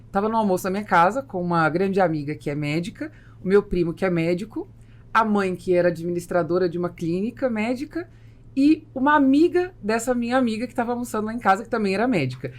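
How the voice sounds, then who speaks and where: A woman with a Brazilian accent hosts a conversational business podcast. Her voice sits at a medium pitch, and she tells things like an animated storyteller. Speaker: podcast host.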